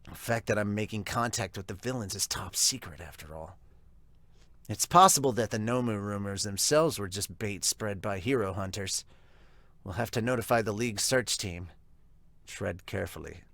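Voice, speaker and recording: A man's voice: fairly low pitch, moderate pace, fairly bright tone, no echo, pitched below the performer's own voice. A man voicing a laid-back, teasing young male hero with wings. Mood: sly.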